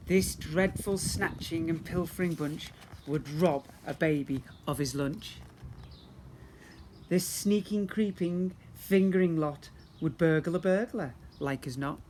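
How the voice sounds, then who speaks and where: A man's voice: fairly high pitch, measured pace, a little mellow, a little hiss or hum. A man reading a rhyming storybook aloud to young children like a teacher, theatrically and with asides to his listeners.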